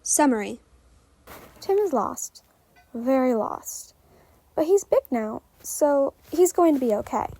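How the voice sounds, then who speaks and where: A woman reading fan fiction aloud as an expressive story narrator. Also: high voice, slow pace, long pauses, no echo, rough recording.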